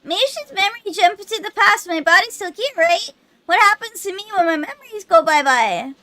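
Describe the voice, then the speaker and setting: A woman's voice: extremely high pitch, lively intonation, brisk, pushed and bright, voice pushed up, full character voice. A woman voicing a naive, curious, childlike anime girl from a visual novel, with a cutesy, wondering manner. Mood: worried. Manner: cutesy, wondering, speaks of herself in third person.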